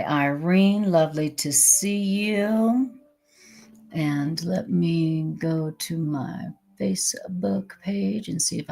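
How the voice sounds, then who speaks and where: Lady teaching a spiritual class on a livestream, loud low voice, takes her time. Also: gravelly. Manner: warm, chatty, laughs easily. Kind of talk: live-streamed spiritual class. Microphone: desk microphone.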